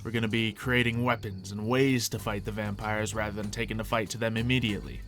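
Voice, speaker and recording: Animated male gaming host over music. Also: lowish voice, fast. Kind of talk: playful in-character gameplay commentary. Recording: music behind the voice.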